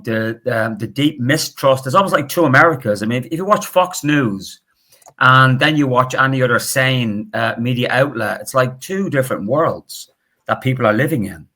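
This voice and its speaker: Middle-aged northern irish guy talking bright and punchy, strong opinions, guest on an interview. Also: medium pitch. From Northern Ireland. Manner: animated, emphatic, opinionated. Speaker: guest professor. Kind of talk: conversational interview.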